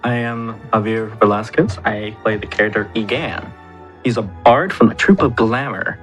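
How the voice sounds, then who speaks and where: A man's voice: on the low side, normal speed, fairly bright tone, soft background music. A man bantering playfully as a player in a tabletop role-playing game.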